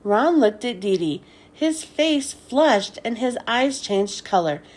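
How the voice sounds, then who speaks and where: Animated, high-voiced woman reading a storybook. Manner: expressive, deliberate storytelling delivery. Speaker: children's book read-aloud host. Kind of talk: scripted storybook narration for children.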